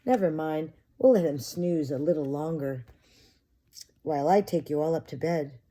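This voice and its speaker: A bustling mother elephant from a picture book, read by a woman, bossy yet fond. The voice is very mellow and motherly, with brisk, firm phrasing. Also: low-pitched. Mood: fond, resigned.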